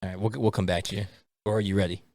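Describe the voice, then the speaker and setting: A man's voice: low voice, little pitch movement, rapid-fire, a little mellow, dry recording. Casual man, close dry sound. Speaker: podcast co-host leading the discussion. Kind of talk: casual chat, film review banter.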